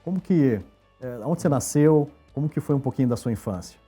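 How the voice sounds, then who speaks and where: A Brazilian man hosts an interview in a deep, very dark, husky voice. He asks questions warmly and affirms often. Soft music plays faintly under the talk. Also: expressive, brisk. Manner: friendly, affirming, short backchannels. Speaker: interview host. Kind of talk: podcast interview hosting.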